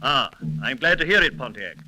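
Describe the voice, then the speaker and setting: An actor playing a courteous but commanding English army major in a 1930s radio drama, speaking formally. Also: male voice, medium pitch, lively intonation, lightly acted, poor audio. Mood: formal, diplomatic. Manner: formal, measured diplomatic address.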